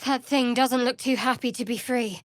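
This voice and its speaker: A woman playing a guarded, sardonic young cleric of a dark goddess in a video game, speaking in a dry, wry manner. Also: a little high, steady tone, moderate pace, no echo, natural voice. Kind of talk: video game character voice lines. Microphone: close studio microphone.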